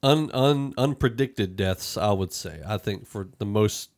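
Lower-voiced man hosting a casual podcast. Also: measured pace. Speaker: podcast host. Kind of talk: casual group chat, film review.

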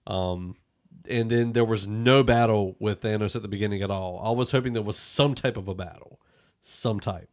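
A man's voice: fairly low pitch, normal speed. A man hosting a casual movie review podcast, talking in an opinionated, conversational way. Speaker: podcast host.

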